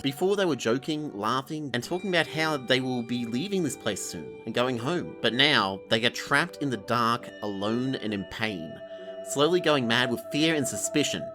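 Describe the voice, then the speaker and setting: A man's voice: medium pitch, expressive, normal speed, music in the background. A man giving a casual, scripted review in a wry, conversational way. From Australia. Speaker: reviewer, host.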